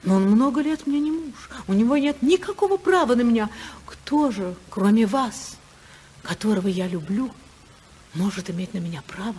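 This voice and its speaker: An actress playing a passionate, unhappy senator's wife in love, in a fervent, emotional 1950s radio drama style. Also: female voice, fairly high pitch, animated, normal speed, dark tone, slightly rough. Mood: insistent.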